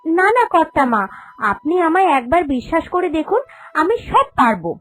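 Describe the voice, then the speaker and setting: A woman's voice: very high-pitched, big pitch swings, moderate pace, fairly bright tone, exaggerated voice. A woman voicing a humble, honest young village woman in a cartoon, speaking earnestly and politely. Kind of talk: Bengali cartoon fairy-tale voice acting. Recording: music under the voice.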